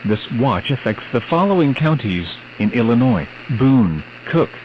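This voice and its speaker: A man reads a scripted emergency weather bulletin in a formal, measured, synthetic delivery. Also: medium pitch, sing-song. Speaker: weather radio announcer. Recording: dull, noisy weather radio broadcast.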